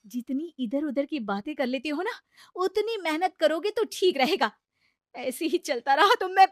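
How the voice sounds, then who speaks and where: A woman's voice: sits high, expressive, normal speed, no echo, studio quality, voice pushed up. A woman voicing an exasperated, hardworking wife in a cartoon story, speaking in an emphatic, scolding way. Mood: angry.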